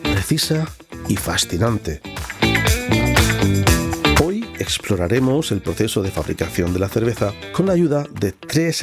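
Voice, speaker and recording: A man reading scripted documentary narration in a measured, explanatory way. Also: lowish voice, creaky. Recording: loud guitar music underneath. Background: guitar music.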